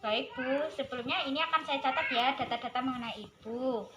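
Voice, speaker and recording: A woman's voice: sits high, a little fast. A young woman, speaking politely and formally as a nutritionist in a rehearsed counselling dialogue. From Indonesia. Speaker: nutritionist in a counselling role-play. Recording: echoey room, distant mic, clear background noise.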